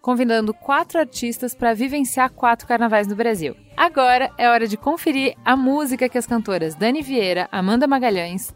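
A woman, hosting a podcast and reading a scripted intro and sponsor message with affectionate address to listeners. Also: fairly high pitch. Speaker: podcast host.

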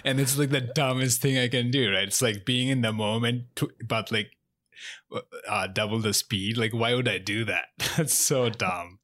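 A man's voice: mid-range voice, steady tone, moderate pace, fairly bright tone, no room sound, clean studio sound. A man from Bangladesh, a comedian guest, chatting on a podcast over a call in an upbeat, giggly, rambling way. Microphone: remote call.

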